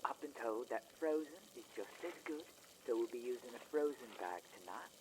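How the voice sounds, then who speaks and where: Cooking demo narrator, mid-pitched, casual delivery; faint, noisy recording with a frying pan going. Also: animated, fairly quick. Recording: distant, noisy audio with sizzling pan. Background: frying and stirring sounds.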